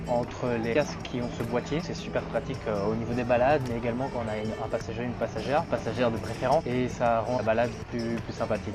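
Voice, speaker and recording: A man from Belgium, chatting informally and directly to his viewers as a travel vlogger. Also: mid-range voice. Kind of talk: casual chat to camera. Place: outdoors in a large city square. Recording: music behind, outdoor city sound.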